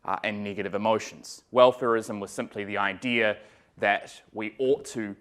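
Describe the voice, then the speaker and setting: A man with a New Zealand accent giving an academic law lecture in a relaxed way, with many ums and uhs and some dry humor. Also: on the low side, moderate pace. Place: lecture room.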